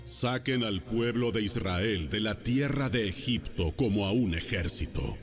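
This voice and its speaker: An actor playing God in a dramatized audio Bible, speaking with solemn, measured authority. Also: male voice, on the low side, lively intonation. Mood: solemn command. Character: God, commanding, solemn male deity of the Bible. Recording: loud music under the voice.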